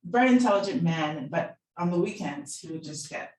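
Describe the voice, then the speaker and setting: A woman's voice: fairly low pitch, animated, normal speed, a little mellow. A woman from Barbados sharing personal memories in a candid, reflective way on a panel. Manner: reflective, candid, occasional laughs. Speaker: panel guest. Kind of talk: casual personal storytelling. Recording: echoey room, distant mic.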